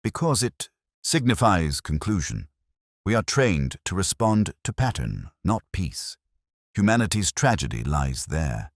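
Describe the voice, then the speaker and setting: A man voicing a grave, brooding, cerebral detective in a storybook reading, speaking in measured, solemn aphorisms. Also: low-pitched, sing-song, dry recording, studio quality, pitched above the performer's own voice, exaggerated voice. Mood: calm, weighty.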